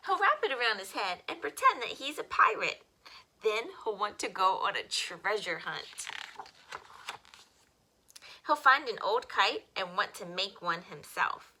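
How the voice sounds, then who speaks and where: A woman with a very bright, forward voice reads a picture book aloud for young children. Her pitch moves animatedly as she shapes each simple sentence expressively. There is faint noise behind her. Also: rough recording. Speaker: story time host reading children's books. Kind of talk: children's read-aloud storytelling.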